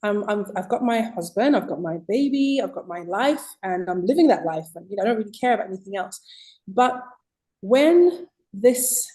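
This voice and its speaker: Mellow-voiced British-accented woman author. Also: mid-range voice, moderate pace, poor audio. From Zimbabwe. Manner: reflective, expressive, emphatic at key points. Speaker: guest author. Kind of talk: relaxed interview storytelling.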